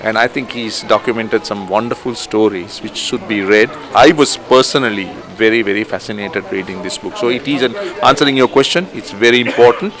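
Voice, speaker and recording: Male official, deeper voice, sincere, steady interview soundbite. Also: crowd noise. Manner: earnest, measured. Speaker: cricket official. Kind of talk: interview comment.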